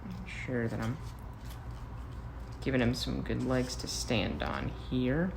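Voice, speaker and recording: A man's voice: mid-range voice, big pitch swings, slow pace, vocal fry. American man teaching kids, noisy recording. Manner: playful, talks to young viewers as he works. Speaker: first-grade teacher. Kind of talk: casual craft demonstration for children.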